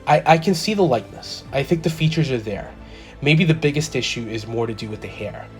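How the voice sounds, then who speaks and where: A man hosting his own collector channel, talking through a careful review in a measured, methodical way, a little stuffy from a cold. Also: mid-range voice, animated, soft background music. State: slightly congested from a cold. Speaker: toy collector channel host. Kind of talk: scripted product review voice-over.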